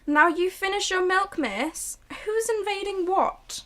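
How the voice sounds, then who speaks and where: A woman voicing a patient, gently teasing mother in a student radio drama. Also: very high-pitched, slow pace, pitched above the performer's own voice, exaggerated voice. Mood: indulgent. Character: amused, patient mother of a young girl.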